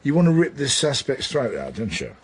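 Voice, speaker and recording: An actor playing a middle-aged British detective sergeant, unflappable and fatherly, with a measured, dry, polite delivery in radio drama style. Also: medium pitch, huge pitch swings, slight background noise. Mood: wry, steadying. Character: senior police detective sergeant, unflappable, dryly courteous, fatherly mentor. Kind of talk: naturalistic radio drama acting.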